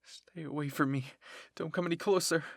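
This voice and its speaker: A man's voice: medium pitch, normal speed, breathy edge, dry recording, clean studio sound. A man voicing a frightened, wounded young girl soldier in a dramatic fan fiction roleplay, pleading shakily and breathlessly. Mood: terrified pleading.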